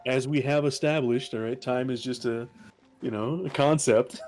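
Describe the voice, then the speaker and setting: A man's voice: medium pitch, steady tone, normal speed, darkish tone, soft background music. A young man in his twenties co-hosting a live stream, joking casually, laughing often and trailing off mid-sentence. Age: 20s. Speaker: stream co-host. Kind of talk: casual chat.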